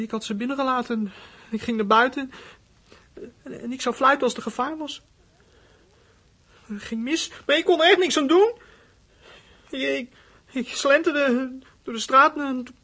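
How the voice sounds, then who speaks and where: An actor playing a frightened, defensive outcast boy in a radio play, speaking in a halting, stammering, emotional way. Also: mid-range voice, pushed and bright. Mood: reluctant, confessing.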